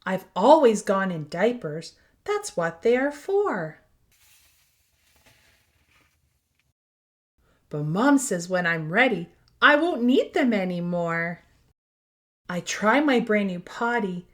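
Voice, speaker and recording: A woman voicing an eager, proud toddler from a storybook, with simple, expressive, sing-song delivery. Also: medium pitch, unhurried. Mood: curious, unsure. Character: eager, proud toddler learning to use the potty.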